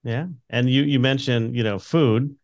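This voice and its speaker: A male podcast host with a gravelly, creaky voice at a mid pitch. He asks his guest questions in an easygoing way and encourages her to keep talking. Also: steady tone, a little slow, a little mellow. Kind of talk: interview questions.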